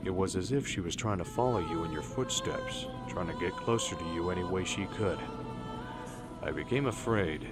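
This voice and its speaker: Older army colonel, low stern voice, measured, radio drama w/ music. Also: male voice, lively intonation. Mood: confiding, worried. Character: Colonel, stern older male military commander.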